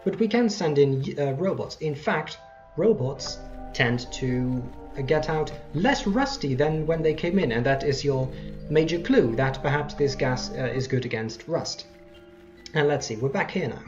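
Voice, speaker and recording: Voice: medium pitch, lively intonation, a little slow, a little mellow, highs cut off, faint music behind. A let's play host giving casual, rambling game commentary with self-deprecating asides.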